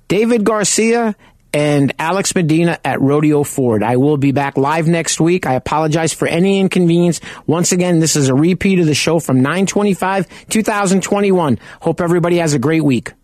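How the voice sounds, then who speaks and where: A man hosting a car-buying radio show from home, talking in a loud, chatty, conversational way. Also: a little high, no echo, clean studio sound. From Arizona. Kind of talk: casual radio talk.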